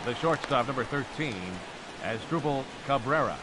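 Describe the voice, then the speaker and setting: A man playing a formal, showy ballpark public address announcer in a video game, drawing out announcements over a stadium loudspeaker. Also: medium pitch, a crowd in the background, noisy background. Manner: drawn-out, deliberate announcing.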